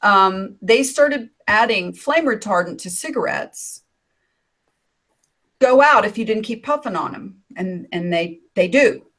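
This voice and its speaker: Slow-talking raspy older woman. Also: medium pitch, expressive, fairly bright tone. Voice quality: raspy from decades of smoking. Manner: rambling, with many fillers and asides. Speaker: co-host of an online hangout. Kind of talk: casual chat on a video call. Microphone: computer mic on a video call.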